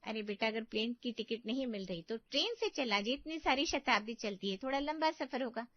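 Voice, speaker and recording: Woman plays protective middle-aged cartoon mother, fussy, blunt, raised pitch, dry dulled recording. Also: brisk, no room sound, highs cut off, close to an everyday voice. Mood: fussing. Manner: exclaiming, fussing.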